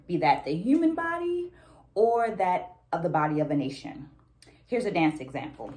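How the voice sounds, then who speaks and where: A woman in her thirties giving a reflective, deliberate explanation of a book as a vlog host, talking to camera. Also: sits low, a little mellow. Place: small indoor room at home.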